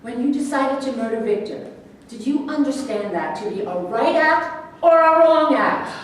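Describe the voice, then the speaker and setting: Echoey distant mic, lady playing a calm clinical shrink in a stage play, highish voice, asking probing questions. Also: normal speed. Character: probing, composed female psychiatrist questioning a patient. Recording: very echoey room, distant mic, faint noise.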